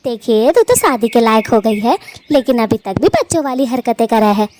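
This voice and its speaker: A woman's voice: a little high, lively intonation, moderate pace, darkish tone, slight background noise, close to an everyday voice. A woman voicing a fond, scolding, matchmaking elder sister bird in a cartoon story, with a homely, bossy, nagging manner. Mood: chiding. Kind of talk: animated cartoon story dialogue.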